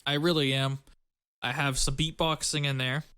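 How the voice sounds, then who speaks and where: Bright-toned, wry man co-hosting a podcast. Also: medium pitch, level tone, normal speed, clear, resonant tone, dry recording, studio quality. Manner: wry, joking, informal. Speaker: podcast co-host. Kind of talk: casual banter.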